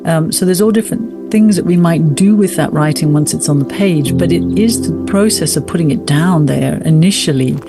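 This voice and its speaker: A woman speaking as an interview guest, explaining ideas in an articulate, measured and thoughtful way with emphatic pauses. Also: low voice, over music. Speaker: writer and podcast guest.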